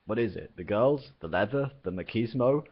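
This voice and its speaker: A man voicing a quick-witted alien traveller who talks persuasively with nervous energy. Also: lowish voice, lively intonation, slightly rough, muffled recording, voice pushed up. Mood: cajoling.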